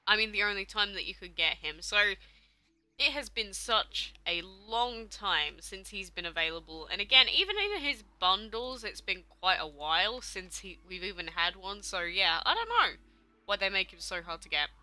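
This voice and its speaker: Bright-voiced young woman streamer. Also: medium pitch, sing-song, normal speed, dry recording, rough recording. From England. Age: young adult. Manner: chatty, rambling, answers viewers one by one. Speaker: game streamer. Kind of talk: casual live-stream chat reading out chat questions.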